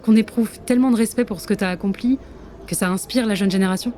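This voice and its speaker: A woman's voice: medium pitch, fast, dark tone, a little hiss or hum, lightly acted. A woman playing a sardonic, teasing secret agent in a spy audio drama, casual and needling.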